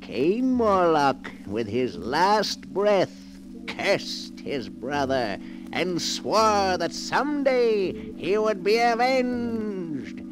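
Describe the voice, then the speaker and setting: A man giving solemn, measured dramatic narration in the manner of 1940s radio. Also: high voice, big pitch swings. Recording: vintage 1940s radio broadcast. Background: dramatic music.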